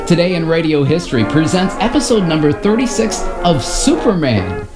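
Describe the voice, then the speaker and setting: Lively middle-aged male host over music. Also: fairly high pitch, moderate pace. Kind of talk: scripted presentation, narration.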